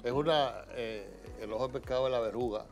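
A man's voice: mid-range voice, a little fast, music in the background. An older man, a doctor co-hosting a studio health show, explaining medical terms. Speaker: doctor co-host. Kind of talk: casual interview chat. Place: TV studio set. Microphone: lapel mic.

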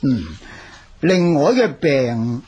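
An actor playing a self-assured old geomancer-healer in a Cantonese radio drama, husky and learned, with a Hong Kong accent and a measured, authoritative manner. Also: male voice, a little high, very animated, darkish tone, heavily acted. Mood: confident, instructing.

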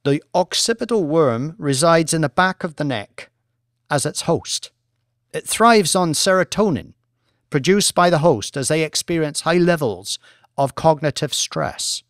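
An earnest scientist played by a man delivers research in a formal, measured lecture for an audiobook. The words are clinical and deliberate, recorded close and dry. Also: a little high, lively intonation, fairly bright tone, no echo, pitched above the performer's own voice.

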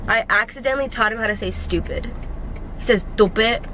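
A woman's voice: on the high side, a little fast, bright, forceful tone, a little hiss or hum, low-quality recording. A young woman filming a casual vlog while driving, talking animatedly and scolding other drivers in mock road rage, recorded inside a car. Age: young adult. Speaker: vlogger. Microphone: dashboard camera mic.

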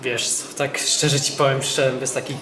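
A man's voice: medium pitch, little pitch movement, moderate pace, lightly acted. A young man in a casual conversation in an amateur radio play. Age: young adult. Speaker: audience member giving feedback. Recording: echoey space with crowd noise.

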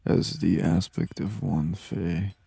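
A man doing soft-spoken ASMR gaming commentary, murmuring and musing aloud to himself close to the microphone. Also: sits low, flat delivery, slow pace, creaky, slightly rough, no room sound. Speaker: gaming channel host. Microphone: close microphone, dry.

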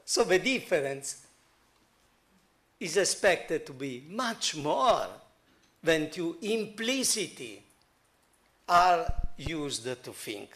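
A middle-aged man with an Italian accent giving an academic conference talk in a hall, halting and full of fillers. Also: on the high side, big pitch swings, fairly bright tone. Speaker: conference speaker.

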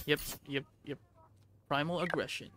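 A man's voice: fairly high pitch, fairly even intonation, normal speed, sharp tone, a little hiss or hum. A man giving casual let's play commentary, muttering to himself and repeating words as he plays. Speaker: gaming video creator. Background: game music.

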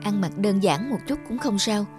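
Cartoon drama lead: actress as gentle young woman, sorrowful, earnest, mid-range voice. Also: mid-range voice, lively intonation, moderate pace, faint music behind, lightly acted. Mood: worried, resigned. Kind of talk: cartoon drama acting with reflective narration.

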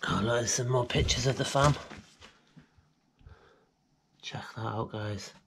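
A British man with a gravelly edge talks casually to his viewers as an urban exploration vlogger. His voice sits at an ordinary pitch. It is picked up on a handheld camera indoors, with faint noise. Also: a little slow. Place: inside rooms of an abandoned house. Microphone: handheld action camera on gimbal.

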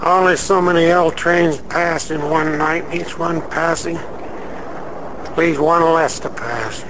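An actor playing a resigned, agreeable night clerk in a radio drama, politely offering platitudes. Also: male voice, fairly high pitch, fairly even intonation, takes it slowly. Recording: faint background noise.

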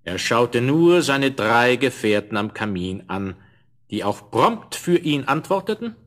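A man narrating a scripted fairy tale in a measured, expressive storyteller's manner. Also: mid-range voice.

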